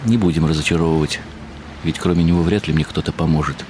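An actor playing a world-weary writer who narrates a literary radio drama in a wry, ironic, musing way. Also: male voice, rumbling low, quick pace, background noise. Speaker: narrator and lead character.

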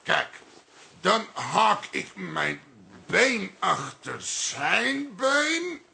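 An actor playing a burly, showy judo instructor, demonstrating deliberately in a gravelly voice for radio comedy. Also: male voice, high voice, big pitch swings, sharp tone, full character voice. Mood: instructing. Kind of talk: Dutch radio comedy drama acting.

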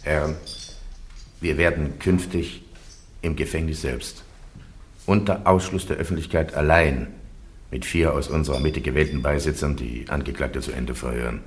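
An actor playing a presiding bishop judge who closes the session with formal, commanding orders in a radio play. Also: male voice, extremely low pitch, fairly even intonation, moderate pace, slight background noise. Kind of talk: classic radio play acting.

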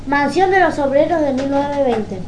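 A woman with an Argentine accent hosting informal community radio, reading announcements haltingly and correcting herself. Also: high voice, expressive, a little mellow, slight background noise, poor audio.

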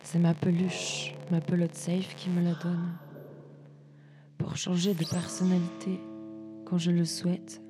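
A young woman recites poetry in a low-leaning, flat and even voice. She sets out short phrases one by one in a measured way. Soft music plays faintly behind her. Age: young adult. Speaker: student writer reading collective texts. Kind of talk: poetry reading, spoken word.

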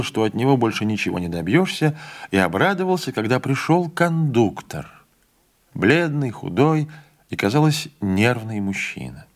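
A man narrating a literary audiobook with an expressive reading, giving the characters' lines a voice of their own. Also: lowish voice.

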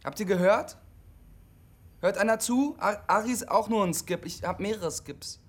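Lonely, halting young man, higher-pitched. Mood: defensive, agitated. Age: young adult. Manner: halting, insistent, repeats himself. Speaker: CB radio operator character. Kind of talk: naturalistic radio drama dialogue.

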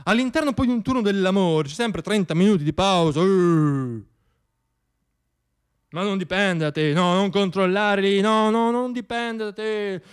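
Higher-voiced man performing a sardonic theatrical monologue. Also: clean tone. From Italy. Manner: emphatic, pausing, repeats words for effect. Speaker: monologist performing own piece. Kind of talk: theatrical comic radio monologue.

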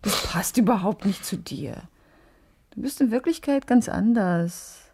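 An actress playing a discontented, yearning young wife in a radio drama, gently reproachful and quietly defiant. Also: female voice, medium pitch, animated, unhurried, husky. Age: young adult. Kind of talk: naturalistic German radio drama dialogue.

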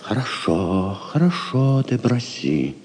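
Imperious prince, slow, wildly swinging delivery. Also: male voice, mid-range voice, very animated, darkish tone. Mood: cold, calculating. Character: anxious, imperious royal prince plotting against the king. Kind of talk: theatrical Russian radio drama acting. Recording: long reverberant hall sound.

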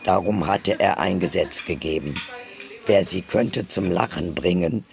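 A man reading a fairy tale as a scripted narrator, with a gravelly voice. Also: deep voice, unhurried, background noise. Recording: music behind the voice.

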